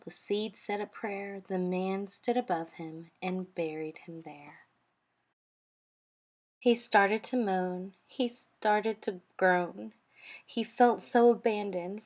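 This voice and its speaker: Slow-paced woman reading a storybook. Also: mid-range voice, a little mellow. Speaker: storytime reader. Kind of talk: read-aloud children's storybook narration.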